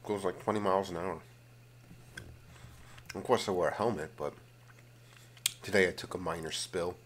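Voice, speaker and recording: A man hosting a casual, rambling ASMR video, slipping between vocal fry and whispering and often repeating his phrases. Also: sits low, normal speed, a little hiss or hum. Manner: alternates hushed fry and whispering.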